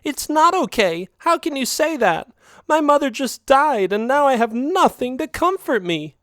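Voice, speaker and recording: A man's voice: high voice, big pitch swings, moderate pace, no room sound, clean studio sound, voice pushed up. A man voicing a pushy, dramatic young female cat who whines and sobs to get her way. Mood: wailing. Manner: whiny, tearful, manipulative.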